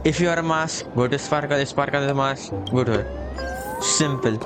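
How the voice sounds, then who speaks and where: Man streamer, offhand reaction chat, mid-pitch voice, game music blasting behind. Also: moderate pace. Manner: casual, offhand, thinking aloud. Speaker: gameplay streamer. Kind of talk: casual game reaction commentary. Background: very loud game music.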